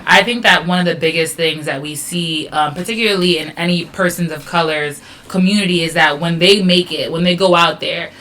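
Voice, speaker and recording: A woman's voice: lowish voice, steady tone, a little fast, hardly any pauses, fairly bright tone, slight background noise, low-quality recording. A woman hosting a podcast interview in a chatty, encouraging, slangy way. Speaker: podcast host.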